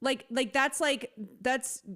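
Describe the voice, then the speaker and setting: A high-voiced young woman trading casual banter as a podcast co-host. She talks emphatically and swears freely, breaking into quick laughs. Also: steady tone, fairly bright tone. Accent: General American, Boston accent trained away. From Boston area. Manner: profane, emphatic, laughs often.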